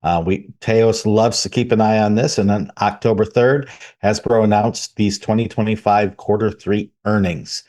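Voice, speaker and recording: A man's voice: fairly low pitch, fairly even intonation, normal speed, few pauses, darkish tone. A man co-hosting a gaming podcast who leads a news segment with short questions to his partner. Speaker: podcast co-host.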